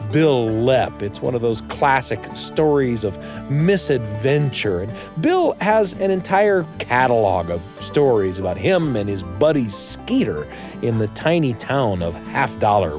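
Animated man hosting a storytelling show. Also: medium pitch. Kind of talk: scripted, upbeat radio introduction.

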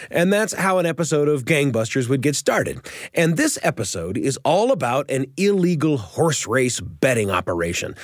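A male radio host telling a story in a chatty, explanatory way. His mid-pitched voice is very animated, recorded close in a dry studio. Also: no echo. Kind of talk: animated storytelling commentary on an old radio show.

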